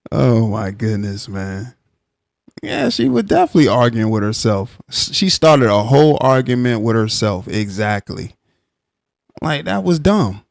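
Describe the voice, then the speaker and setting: A man's voice: medium pitch, sing-song, measured pace, creaky, no room sound, studio quality. Insistent man hosting a heated stream. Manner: firm, insistent, repeats himself. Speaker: live stream host. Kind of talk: heated live stream argument.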